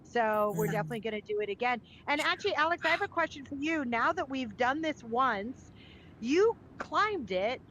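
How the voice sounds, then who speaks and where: A woman's voice: high voice, animated, fairly quick, a bit bright, slight background noise, low-quality recording. A woman hosts a virtual event, announcing what comes next and asking the guest questions in a friendly, informal way. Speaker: event host. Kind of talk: casual hosting.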